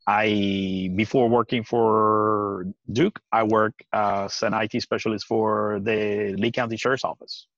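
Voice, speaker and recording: A man in his forties from Puerto Rico, talking earnestly on a video call from outdoors, with halting restarts and frequent laughs. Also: on the low side, little pitch movement, a bit bright, no room sound. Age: 40s. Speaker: guest. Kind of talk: casual video-call interview. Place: outdoors on a deck by trees. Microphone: video call webcam. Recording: dry, dull lo-fi webcam sound.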